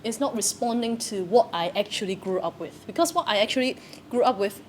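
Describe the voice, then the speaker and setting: A woman's voice: mid-range voice, a little fast. A young woman, a theatre practitioner, talking in a searching, questioning way and often repeating herself. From Hong Kong. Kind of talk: reflective conversation. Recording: faint background noise.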